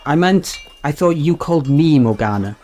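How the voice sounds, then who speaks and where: A man's voice: a little high, lively intonation, moderate pace, darkish tone, soft background music, lightly acted. A man playing an earnest, muddled underling to a knight in a comic radio play, hesitant, literal and rambling. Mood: earnest, confused.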